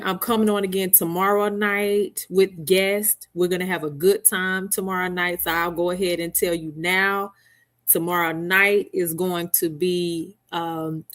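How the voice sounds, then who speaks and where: A middle-aged woman hosting a casual live stream from home, chatting with viewers by name and laughing often. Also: lowish voice. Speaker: livestream host. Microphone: headset mic.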